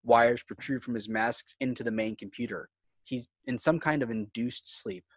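Male narrator for sci-fi script read: mid-pitched, scripted stage directions, close dry sound. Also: steady tone, moderate pace, dry recording.

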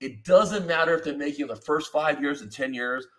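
A man's voice: fairly high pitch, moderate pace. A man in his thirties hosting a casual podcast from his home studio, talking energetically and rambling through stories over a video call. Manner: energetic, rambling, expressive hand gestures. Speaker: podcast host. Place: home studio with foam-panel wall, indoors.

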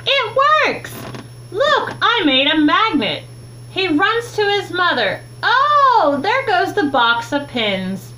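A young woman with a high, very melodic voice reads a picture book aloud for children. She takes her time, phrasing each line deliberately and expressively. The home recording has clear background noise. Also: pushed and bright, low-quality recording. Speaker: teacher reading a children's book. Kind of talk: read-aloud storytime narration. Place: small room at home, indoors.

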